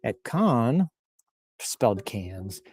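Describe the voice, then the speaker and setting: A man's voice: on the low side, very animated, very slow, darkish tone, husky, no echo. A man hosting in a casual, improvised comic style, playfully reading posts aloud and rambling off into asides. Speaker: host reading and riffing on social media posts.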